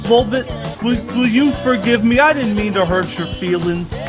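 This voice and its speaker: A woman's voice: medium pitch, moderate pace, lightly acted. A woman playing an earnest, placating friend in an animation skit. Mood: apologetic, placating. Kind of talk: animation dialogue. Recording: music over the clip.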